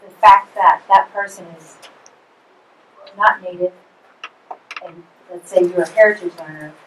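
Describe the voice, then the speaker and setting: Woman thinking out loud in a forum, low-ish voice, far away. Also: fairly even intonation, measured pace. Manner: thoughtful, rambling. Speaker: forum participant. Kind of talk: forum discussion. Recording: echoey room from far off.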